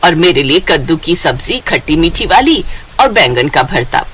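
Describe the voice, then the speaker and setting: A woman voicing an older mother-in-law in a cartoon story, measured, authoritative and demanding. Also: sits low, sing-song, fairly bright tone, husky, voice pushed down, exaggerated voice. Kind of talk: Hindi moral-story cartoon voice acting.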